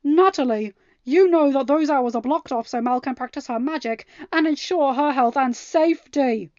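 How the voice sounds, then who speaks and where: A woman voicing an angry, protective young king with a forceful, growling, emphatic delivery in an audio story. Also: sits high, moderate pace, no room sound, dull audio, close to an everyday voice. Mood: indignant. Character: angry protective teenage king, stern boyfriend.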